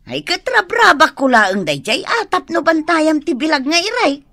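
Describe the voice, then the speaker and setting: A woman's voice: high-pitched, sing-song, moderate pace, bright, forceful tone, no room sound, clean studio sound, full character voice. A woman playing a prank-loving village wife and mother in an Ilocano radio comedy drama. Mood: motherly. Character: teasing village wife and mother who loves pulling pranks.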